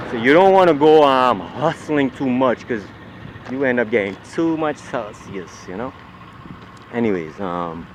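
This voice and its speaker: A man's voice: medium pitch, rough recording. A man rambling in an agitated, profane monologue while walking outdoors on a city street. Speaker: vlogger. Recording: street traffic noise behind.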